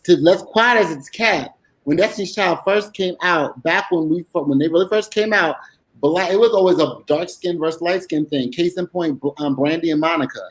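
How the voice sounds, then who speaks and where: A man's voice: on the high side, normal speed, poor audio. A man talking emphatically and with strong opinions as a panelist in a casual group chat on a video call.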